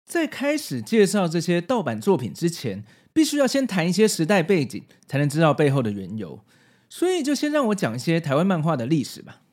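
Very animated Taiwanese Mandarin male podcast host. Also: fairly high pitch, a little fast. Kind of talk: prepared podcast narration.

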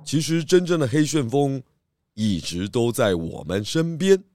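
A man with a Taiwanese accent voicing a clever, calm pig detective in a children's audio drama, patiently explaining clues. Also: medium pitch, a little slow, over loud music. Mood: confident explaining.

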